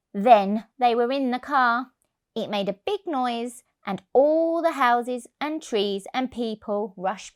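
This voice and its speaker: A woman narrates a story for young children at an unhurried pace. Her rather high voice moves up and down a lot, with deliberate stress on key words. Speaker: childminder reading stories to children.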